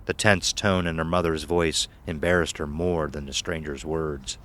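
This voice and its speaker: A man's voice: a bass voice, steady tone, moderate pace, fairly bright tone. A man reading a scripted horror story as narrator.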